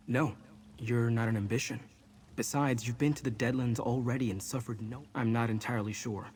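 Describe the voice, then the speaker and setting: A man playing a reformed, earnest sorcerer in a fantasy game, explaining things in a measured, controlled way. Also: medium pitch, darkish tone, a little hiss or hum, natural voice. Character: reformed male sorcerer mentor, earnest and controlled, troubled past. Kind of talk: scripted fantasy game dialogue.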